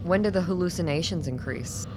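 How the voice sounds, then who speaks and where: Female actor as measured, probing middle-aged doctor, radio play, low voice, clear background noise. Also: mellow, natural voice, rough recording. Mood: clinical, probing. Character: composed, tough late-forties female doctor with hidden agenda.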